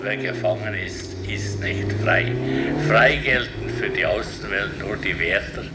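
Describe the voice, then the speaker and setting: An actor playing a solemn, measured public speaker giving a formal speech heard on a car radio. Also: male voice, fairly low pitch, vocal fry. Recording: car radio over loud engine noise. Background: vehicle noise.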